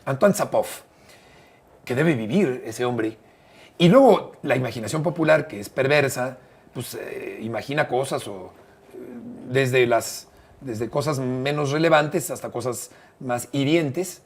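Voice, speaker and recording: Older Mexican man, measured storyteller. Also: mid-range voice, husky. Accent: Mexican Spanish. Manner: measured, fills pauses with "eh", repeats phrases. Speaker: interview guest, broadcaster and bullfight writer. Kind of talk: relaxed conversational storytelling.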